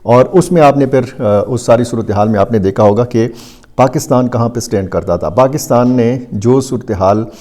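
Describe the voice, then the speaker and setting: A man with a Pakistani accent giving unscripted news analysis to viewers, speaking deliberately and haltingly with frequent drawn-out hesitation sounds. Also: mid-range voice, warm tone. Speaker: news commentator.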